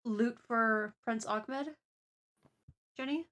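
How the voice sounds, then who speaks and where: A woman hosting a livestream, chatting casually and thinking aloud in a deliberate way. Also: on the high side, a bit bright. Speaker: stream host.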